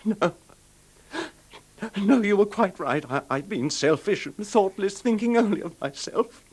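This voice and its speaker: An actor playing an emotionally fragile, apologetic husband who hesitates and stammers in a 1970s radio drama. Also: male voice, high-pitched, animated, a little slow, darkish tone, husky, exaggerated voice. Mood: remorseful, pleading. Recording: noisy 1970s radio broadcast recording.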